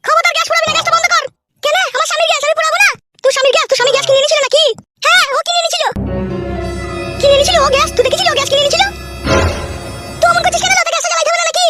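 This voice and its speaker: A woman voicing a squeaky, shrill, foul-mouthed cartoon wife who quarrels and taunts. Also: steady tone, very fast, over music. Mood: scolding, combative. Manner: combative, taunting.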